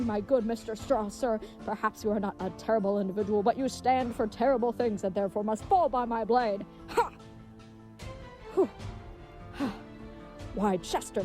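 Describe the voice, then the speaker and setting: A woman's voice: medium pitch, dark tone, close to an everyday voice. Comedic audio drama lead: gallant, earnest leathersmith hero played by a woman, grandly old-fashioned delivery, faint music bed. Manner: pompous, flowery old-fashioned speech. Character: gallant, earnest, dim leathersmith turned would-be knight.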